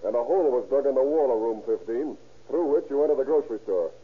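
A man playing a hard-boiled American police detective in vintage radio drama. He rattles along briskly in a husky, commanding voice, on a thin, tinny old recording. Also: mid-range voice, natural voice. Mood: pressing, stern. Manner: brisk, authoritative, clipped. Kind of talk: 1930s-40s radio crime drama acting.

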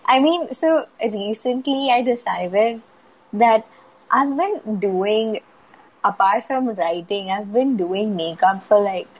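A woman's voice: medium pitch, slow pace, breathy edge, rough recording. A woman in casual conversation, talking candidly about writing and makeup, swearing freely and acting out quotes. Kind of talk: casual chat.

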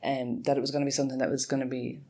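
A woman's voice: a bass voice, little pitch movement, very fast, dark tone. A woman in her forties answering interview questions earnestly, often laughing and restarting her sentences. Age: 40s. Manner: earnest, frequent laughs, self-correcting. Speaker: guest, tech support lead.

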